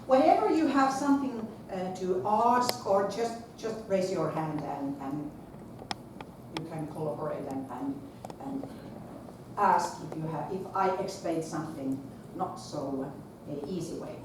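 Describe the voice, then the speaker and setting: A woman's voice: lowish voice, unhurried. Finnish woman projecting in an echoey hall. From Finland. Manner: projects her voice without a microphone, animated and chatty. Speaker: conference speaker, school principal. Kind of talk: presentation to an audience. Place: large lecture hall. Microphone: far camera. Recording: echoey lecture hall from far off, noisy.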